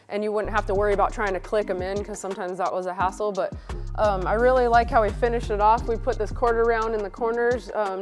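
A woman in her thirties chatting casually and practically in a home gym, on a lapel mic. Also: mid-range voice. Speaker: co-host.

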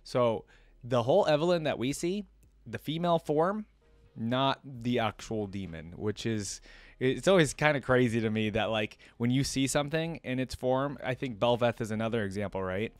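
A man's voice: mid-range voice, lively intonation, normal speed, dry recording. A young man hosting a reaction video in a casual, conversational way, commenting and reading aloud as he thinks things through. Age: young adult. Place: indoor home streaming setup.

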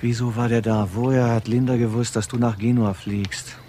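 An actor playing a dogged, impatient police detective in a German crime radio drama, pressing with clipped questions. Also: male voice, mid-range voice, flat delivery, normal speed, dark tone, lightly acted. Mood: probing. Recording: radio drama with background noise.

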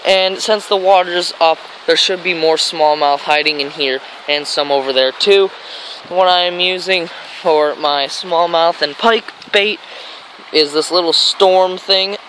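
A man's voice: a little high, a little slow, pushed and bright, rough recording. An American man narrating a casual fishing vlog in a rambling, enthusiastic way, recorded on a handheld camera outdoors. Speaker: fishing vlogger. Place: outdoors on a grassy riverbank. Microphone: handheld camera mic. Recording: outdoor handheld camera, stream and traffic noise.

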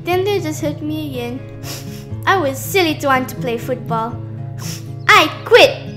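A woman playing an earnest, childlike, expressive young girl in an animated comic. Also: high-pitched, measured pace, soft background music. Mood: dejected. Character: earnest young girl who loves football. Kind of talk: animated motion comic acting.

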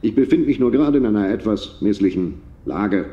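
Mann als dumpfer, verlegener Kostümierter, hallig. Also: male voice, lowish voice, lively intonation, fairly quick, lightly acted. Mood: sheepish, polite. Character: polite, sheepish man stuck in lizard costume. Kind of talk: comedic audio drama acting. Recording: muffled, echoey.

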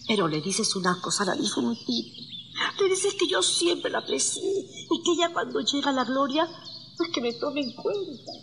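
A woman's voice: high-pitched, sing-song, moderate pace. A woman playing a pious, generous village shopkeeper in a radio drama, motherly and sympathetic. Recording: reverberant with birdsong and faint noise.

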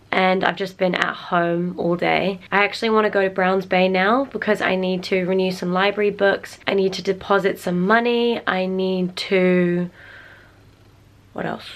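A young woman in her twenties chatting slowly to a camera held at arm's length in her bedroom, her voice husky and congested from a cold. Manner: slow, low-energy talk. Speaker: singer vlogger. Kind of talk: casual vlog chat. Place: small bedroom indoors.

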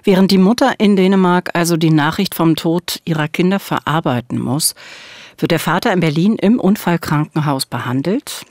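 A woman's voice: sits low, a little mellow, dry recording. A middle-aged woman narrating a true-crime podcast as co-host at a studio microphone. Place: small radio studio. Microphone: studio microphone, headphones.

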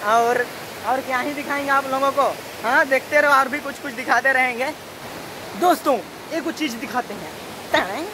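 A man's voice: very high-pitched, moderate pace. Chatty man vlogging beside a roaring waterfall. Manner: chatty, rambling, laughs often. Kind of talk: casual travel vlog talk. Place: outdoors by a waterfall. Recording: loud hiss of falling water.

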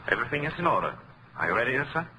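An actor playing a dignified, earnest resistance leader in a 1940s radio drama, formal and composed. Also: male voice, medium pitch, big pitch swings, very fast, natural voice. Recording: slightly noisy old radio recording.

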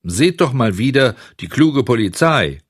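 A spiteful storybook loafer , acted by a man, gossiping with open sarcasm. The voice is pushed up high and a little husky, dripping with scorn. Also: big pitch swings, unhurried, no room sound, clean studio sound. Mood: mocking, scornful. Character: spiteful, mocking street gossips. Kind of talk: storybook audiobook character voice.

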